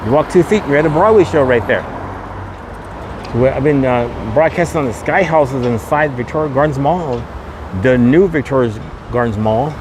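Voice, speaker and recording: A man's voice: mid-range voice, moderate pace. A man hosts a casual walking tour podcast, repeating his points in tour-guide patter. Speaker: podcast host and vlogger. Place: outdoors on a windy street at a shopping mall. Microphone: camera microphone with a wind guard. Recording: windy outdoor street noise.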